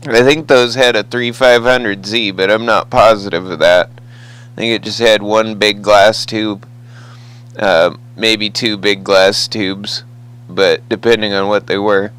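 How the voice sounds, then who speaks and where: A man's voice: on the low side, fairly even intonation, a little slow, fairly bright tone, smooth, rough recording. An American man chatting casually over the radio as a hobbyist, with an easygoing, folksy manner. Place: two-way CB radio conversation.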